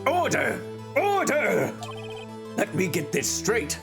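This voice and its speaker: Whimsical, stern game judge, played by a man: animated delivery over clear background music. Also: sits high, slow, exaggerated voice. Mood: commanding. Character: stern yet whimsical male courtroom judge. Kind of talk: amateur video game voice-over read.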